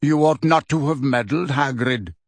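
A man voicing a proud, calm male centaur leader in an audiobook, speaking in a stern, smooth and formal way. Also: medium pitch, no echo, lightly acted. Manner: measured, smooth, formal, solemn.

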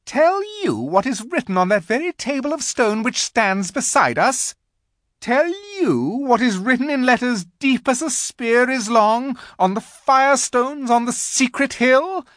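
A performer voicing a cruel, haughty witch queen in a storybook, shrill and declamatory with a snarling edge. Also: expressive, measured pace, a bit bright, no echo, clean studio sound. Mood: shrill, outraged.

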